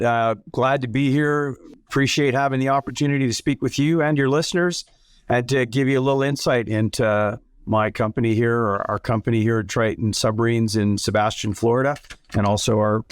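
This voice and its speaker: A man, telling his story as an interview guest in a measured, earnest way. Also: mid-range voice, steady tone, smooth, no room sound. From Canada. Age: 60s. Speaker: interview guest, submarine company founder. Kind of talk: conversational personal storytelling.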